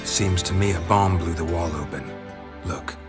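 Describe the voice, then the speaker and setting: An actor playing a stoic, laconic monster hunter in a video game, terse and deadpan with dry humor. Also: male voice, deep voice, normal speed, over loud music, natural voice. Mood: matter-of-fact. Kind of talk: video game dialogue acting.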